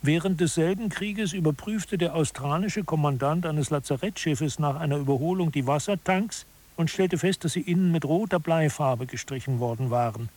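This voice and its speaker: Man playing a higher-voiced, husky, disillusioned author. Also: normal speed, a little mellow, close to an everyday voice. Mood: wry, resigned. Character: male author, disillusioned observer of human incompetence. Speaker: narrator. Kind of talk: scripted audio drama narration.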